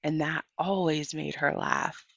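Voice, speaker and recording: A woman reading a children's novel aloud as an audiobook narrator, in an animated storytelling way. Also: low voice, a little slow, vocal fry, no room sound, dull audio. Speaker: audiobook reader.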